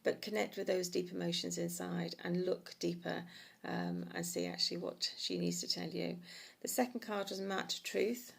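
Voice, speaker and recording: A middle-aged woman with a croaky, hoarse voice, giving a meandering oracle card reading on a livestream with many soft pauses. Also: lowish voice, expressive.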